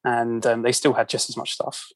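A man's voice: mid-range voice, monotone, moderate pace, no room sound. A man with a London accent, a podcast guest chatting casually with many ums and self-deprecating asides. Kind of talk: casual interview chat.

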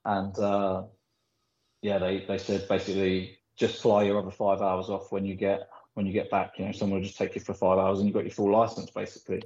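A man's voice: low-pitched, brisk. A man, a pool player born in the Philippines, chatting as a podcast guest in rambling, filler-heavy anecdotes. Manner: rambling, animated storytelling with frequent fillers. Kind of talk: casual podcast interview. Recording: echoey, dull lo-fi recording.

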